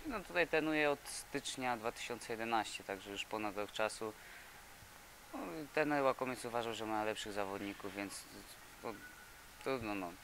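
A young man in his twenties with a bright, forward, slightly low-pitched voice, answering questions in a halting way with plenty of restarts. The sound comes from a handheld mic in a gym, with a faint hiss underneath. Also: poor audio. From Poland. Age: 20s. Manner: halting, many fillers and repeated words. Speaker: boxer. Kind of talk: interview answers. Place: indoor boxing gym. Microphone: handheld reporter mic.

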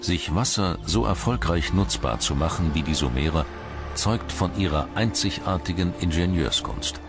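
Very deep-voiced male documentary narrator. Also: expressive, moderate pace. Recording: music bed under the voice. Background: music.

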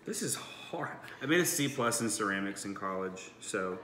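A man's voice: fairly low pitch, expressive, moderate pace, a bit bright. A man in his thirties chatting casually and briefly while he bakes. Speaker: home baker. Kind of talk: casual chat while baking. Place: home kitchen, indoors. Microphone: phone, standing back from it. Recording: echoey kitchen with background noise.

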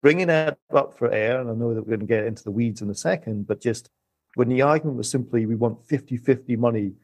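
A man guest on a podcast explaining economics in a measured way. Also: medium pitch. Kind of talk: podcast discussion.

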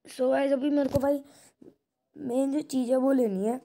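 A woman's voice: sits high, fairly even intonation, moderate pace, vocal fry, dark tone. A woman giving casual, chatty gameplay commentary, addressing viewers informally like friends. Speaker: gaming video creator. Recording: very noisy phone recording with clicks.

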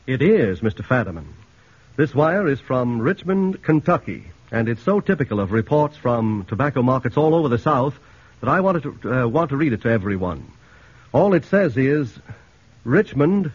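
A man announcing a 1940s radio sponsor pitch, earnest, persuasive and measured. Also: sing-song, slightly rough. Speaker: announcer reading sponsor message. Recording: dull, lo-fi 1940s radio broadcast.

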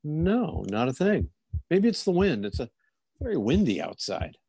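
A man playing a mellow, inquisitive policeman. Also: a little high, big pitch swings, normal speed, breathy edge, exaggerated voice. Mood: curious. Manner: polite, musing, probing. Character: courteous, inquisitive male police officer. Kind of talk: old-time radio theater acting.

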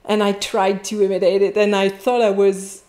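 A woman's voice: medium pitch, normal speed. Chatty woman with a Quebec French accent. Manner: animated, chatty, frequent restarts and laughs. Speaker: guest, French teacher. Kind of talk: casual interview chat. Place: indoor home office. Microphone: wired earphones with mic on a video call.

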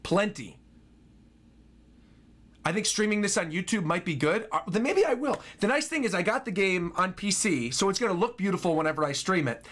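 Animated high-pitched young man reacting. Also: fairly quick. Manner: excitable, animated. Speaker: reaction streamer. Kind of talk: casual live reaction commentary. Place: small home room. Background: game trailer music.

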